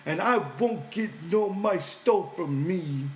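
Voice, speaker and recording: A man's voice: high voice, expressive, slow, a little mellow, reverberant, voice pushed up. A man voicing a big, childlike, simple-minded ranch hand who pleads and whines in an audiobook. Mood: sulky, crafty. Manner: whiny, pleading, childlike, ungrammatical.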